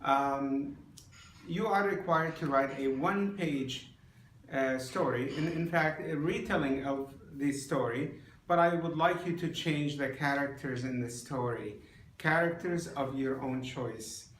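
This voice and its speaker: A man teaching a class, giving deliberate, encouraging instructions to students in an indoor room. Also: medium pitch, a bit bright, a little hiss or hum. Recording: echoey room.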